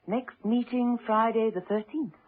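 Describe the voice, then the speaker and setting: A woman's voice: a little high, a little slow. An actress playing a poised, dryly witty British lady investigator in a radio drama, on a muffled old recording. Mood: cool, curious.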